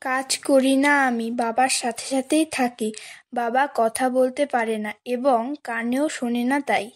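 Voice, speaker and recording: High-pitched, monotone, woman playing poor village youngster, humble, plain, cartoon drama. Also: normal speed, natural voice. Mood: weary, matter-of-fact. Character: poor humble village child caring for a deaf father. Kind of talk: cartoon story dialogue.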